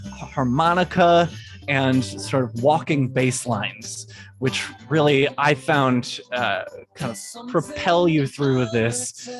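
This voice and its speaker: A man, a guest composer, recording semi-scripted podcast narration in an explanatory way with halting phrasing. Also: medium pitch.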